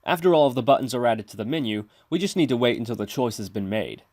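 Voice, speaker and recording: Man, tutorial presenter, scripted instructional narration, methodical, medium pitch, dry close-mic voice-over. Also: lively intonation, fast talker, a little mellow, no echo. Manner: methodical, step-by-step explaining. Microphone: close mic, screen-recording voice-over.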